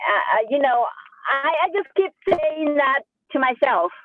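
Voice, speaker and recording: A woman's voice: high-pitched, expressive, a little slow, no echo. Upbeat woman with a Chinese accent on a phone line. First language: Sichuan dialect. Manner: upbeat, enthusiastic, repeats herself. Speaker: real estate agent. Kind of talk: group coaching call.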